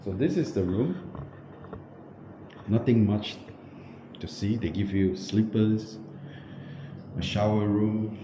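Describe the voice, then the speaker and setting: A man showing a small windowless hotel room while telling a travel story, often pausing and correcting himself with many fillers. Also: fairly low pitch. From New Zealand. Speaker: travel vlogger. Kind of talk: casual vlog walkthrough. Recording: echoey room, muffled, noisy background.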